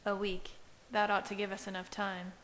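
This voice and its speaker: A woman playing a protective, gently reassuring young male vampire in an audiobook story. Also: medium pitch, completely flat delivery, normal speed, close to an everyday voice. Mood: quiet, frank. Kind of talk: audiobook character voice. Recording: hissy home recording.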